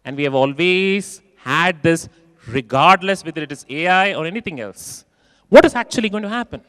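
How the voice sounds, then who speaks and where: A man giving a keynote talk in a large conference hall, expressive and acting out quoted voices, repeating phrases for emphasis. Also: fairly high pitch, normal speed, studio quality. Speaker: keynote speaker.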